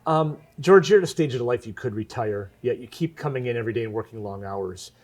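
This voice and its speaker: Guy hosting a local tv interview, normal mid pitch, conversational questions. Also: animated, a little fast, a little mellow.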